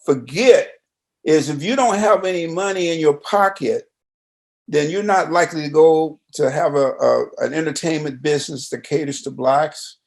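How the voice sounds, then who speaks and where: Older guy chatting casually, voice a bit high and full of fry, wanders and asks questions. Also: normal speed. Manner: rambling, checks in with questions. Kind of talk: casual conversation.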